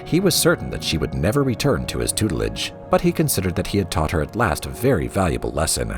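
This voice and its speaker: A man reading a story aloud as a storybook narrator, with a theatrical, expressive delivery. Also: deep voice, fast talker, music in the background. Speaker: lore reader for a gaming let's play.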